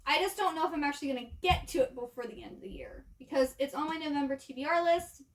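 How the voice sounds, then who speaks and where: Female book vlogger, high-pitched, casual conversational delivery, informal and chatty. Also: fast talker, slight background noise. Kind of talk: casual chat to camera.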